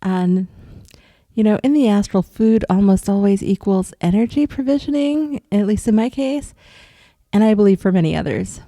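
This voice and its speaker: A woman from upstate New York with a cold, casually telling viewers about an experience in a rambling way, with ums and soft laughs. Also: mid-range voice, animated, moderate pace, no echo. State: has a cold. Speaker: vlogger recounting astral travel.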